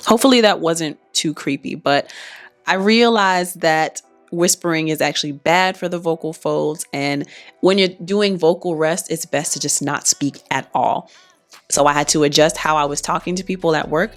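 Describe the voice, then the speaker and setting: A young adult woman and singer chatting casually to camera in a soft-spoken, reflective way while recovering from laryngitis. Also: low-pitched, normal speed, soft background music. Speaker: singer and vlogger. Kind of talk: casual talking-to-camera vlog.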